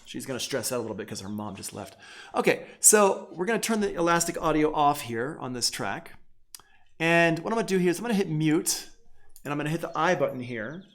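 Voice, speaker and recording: A man's voice: on the high side, expressive, a little fast, a bit bright. A man teaching audio production in a casual live online tutorial, thinking aloud informally as he works.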